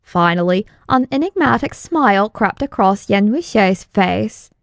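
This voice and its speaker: A woman's voice: medium pitch, animated, a little slow, vocal fry, no room sound, clean studio sound. A woman reading scripted story narration as an audiobook narrator.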